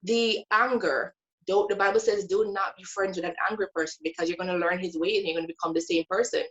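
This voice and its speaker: A woman's voice: on the low side, fairly even intonation, quick pace, highs cut off. A woman guest sharing her Bible study in a casual faith conversation. She speaks earnestly and with emphasis, stretching out key words like a teacher.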